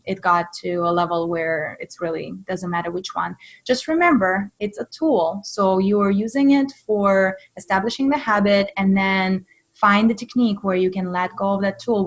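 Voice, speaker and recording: A woman from Russia's Far East with a Russian accent, a mindfulness coach answering webinar questions in a direct, practical, instructive way. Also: mid-range voice. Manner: direct, practical advice-giving.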